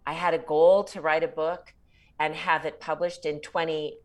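A woman in her sixties talks as a podcast guest in a relaxed, reflective way, often breaking into laughter. Her voice sits a little low for a woman. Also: moderate pace. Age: 60s. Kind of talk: casual interview chat.

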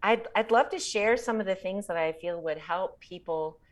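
A woman's voice: mid-range voice, moderate pace. A woman in her sixties, a podcast guest and author, speaking in a chatty, reflective way and laughing often. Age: 60s. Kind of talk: casual interview chat.

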